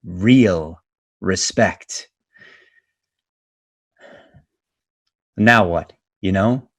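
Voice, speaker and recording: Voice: deep voice, drawn-out words, no echo, exaggerated voice. An actor playing a bitter, washed-up fairy, cursing and grumbling in streetwise slang in a theatrical reading. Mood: bitter, brooding. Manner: profane, streetwise, grumbling, slangy American speech. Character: foul-mouthed, bitter, washed-up magical fairy living on pity. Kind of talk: theatrical monologue in a staged reading. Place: indoors on a video call. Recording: close, dry video call audio.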